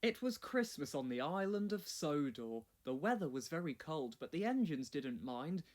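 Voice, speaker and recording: A man narrates a children's storybook in a notably high voice. His reading is scripted and very animated, with plenty of rise and fall. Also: normal speed.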